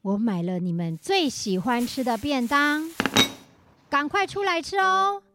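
A patient, gently teasing mother with a slow, sing-song delivery that rises and falls a lot. She speaks over a music bed in a children's radio drama. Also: high-pitched, animated. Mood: calling. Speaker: school principal.

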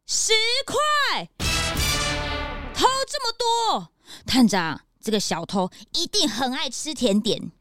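A woman's voice: high voice, wildly swooping pitch, takes it slowly, sharp tone, dry recording, clean studio sound, faint music behind, heavily acted. A woman voicing a perky, curious young male peanut sidekick with a Taiwanese Mandarin accent, wide-eyed and questioning, in a children's audio drama. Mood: astonished.

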